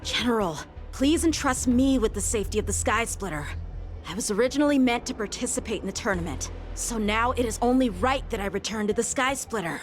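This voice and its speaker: Earnest young swordsman lieutenant, voiced by a woman, formal, dutiful, clear music behind. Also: a little high, expressive, brisk, natural voice. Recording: studio game dub over loud music.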